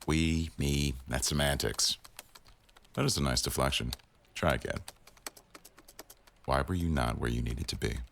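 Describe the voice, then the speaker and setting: A man's voice: rumbling low, measured pace, vocal fry, long pauses, a bit bright, a little hiss or hum, exaggerated voice. A man playing a stern, teasing office boss in a boyfriend roleplay audio, speaking in a wry, formal way. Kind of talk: boyfriend ASMR roleplay acting.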